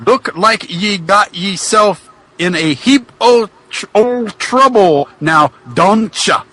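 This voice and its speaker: A man voicing a huge, pale, angry Irish giant wrestler in a comic fan fiction reading, with an exaggerated stage Irish brogue and drawn-out folksy phrasing. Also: high voice, pushed and bright, full character voice. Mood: taunting.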